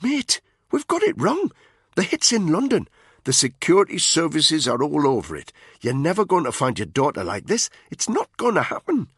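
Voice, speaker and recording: A man's voice: on the high side, huge pitch swings, moderate pace, a bit bright, slightly rough, no echo, clean studio sound, voice pushed up, full character voice. A man doing an urgent, worried soldier mate. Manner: pleading, urgent, concerned. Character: worried male soldier, urging his friend to leave.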